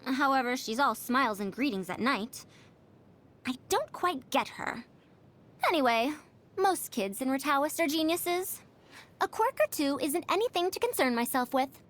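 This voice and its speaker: A woman's voice: sits high, animated, a little slow, fairly bright tone. A woman voicing a proud, fussy century-old scholar with a youthful girlish voice, pompous and lecturing, for a video game. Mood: musing. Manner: pompous, lecturing, old-fashioned elder phrasing. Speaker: game character voice. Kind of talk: animated video game voice lines.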